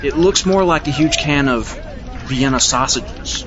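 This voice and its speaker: A man's voice: on the high side, close to an everyday voice. A man playing a rambling, easily sidetracked on-scene reporter in a comedy radio news parody. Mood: earnest rambling. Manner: halting, repetitive, easily sidetracked. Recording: noisy lo-fi outdoor field report.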